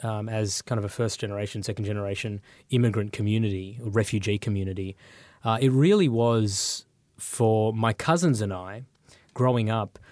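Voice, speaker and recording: A man born in Sri Lanka and raised in Australia, speaking as an interview guest. He talks reflectively and thoughtfully, often pausing with um and uh. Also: lowish voice, a little mellow, dry recording. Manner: thoughtful, with frequent um and uh.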